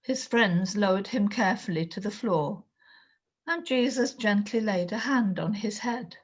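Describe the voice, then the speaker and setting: A woman reading a children's story aloud in an expressive storytelling style. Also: mid-range voice, unhurried, breathy edge, lo-fi sound. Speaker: storyteller, reader.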